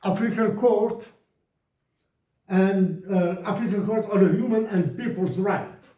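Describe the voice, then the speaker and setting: A man from Algeria giving an impassioned political address to officials, speaking slowly and deliberately with frequent restarts. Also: high-pitched, mellow, echoey room.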